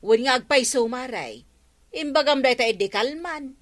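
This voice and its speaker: Sly village neighbour woman, Ilocano accent, sharp and fairly high, radio comedy. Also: expressive, normal speed.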